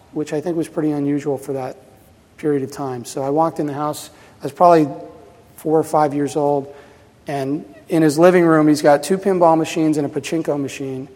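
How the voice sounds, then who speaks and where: A man in his fifties gives a casual conference talk, voice sitting a touch high. He rambles with plenty of fillers and restarts. The sound carries a long reverb from a large hall. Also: a little mellow. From New Jersey, USA. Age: 50s. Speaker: presenter at a pinball festival.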